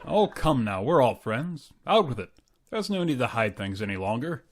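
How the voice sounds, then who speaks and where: A woman's voice: a bass voice, big pitch swings, normal speed, full character voice. A woman playing a hearty, meddling male family friend who blusters and stammers in an old-time radio comedy. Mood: blustering.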